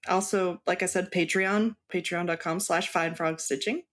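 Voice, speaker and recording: A woman's voice: fairly low pitch, steady tone, moderate pace, a bit bright. A woman whose first language is Spanish, a cross stitch designer, talking casually as an interview guest and laughing often. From Mexican household.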